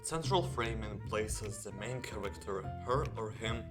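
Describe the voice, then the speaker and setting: A man's voice: fairly low pitch, lively intonation, unhurried. A man reading scripted narration as the narrator of a video essay. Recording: music under the voice.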